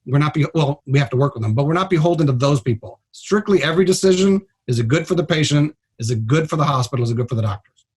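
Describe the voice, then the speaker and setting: A man's voice: on the high side, expressive, fast talker, a little mellow, husky. A man speaking as a guest doctor in a radio interview, explaining things in a plain-spoken, blunt and informal way. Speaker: trauma surgeon, guest doctor.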